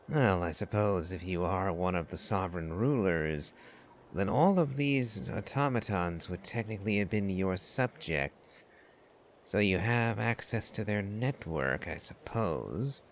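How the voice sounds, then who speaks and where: A man commenting casually on a game he is playing. His low voice moves up and down freely as he muses aloud, with clear background noise. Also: expressive, takes it slowly. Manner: musing, thinking aloud. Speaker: streamer playing a video game.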